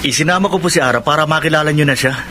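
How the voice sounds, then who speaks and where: A burdened, dutiful young man in a radio drama. He explains himself politely and meekly in a fairly high male voice, with clear noise in the background. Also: very fast, sharp tone. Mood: apologetic. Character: dutiful, meek grown son, respectful and burdened. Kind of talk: radio drama acting in Filipino.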